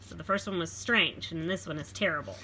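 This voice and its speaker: A woman reading a children's picture book aloud expressively, pausing to explain it simply to young listeners. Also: fairly low pitch, bright, forceful tone, slight background noise, poor audio.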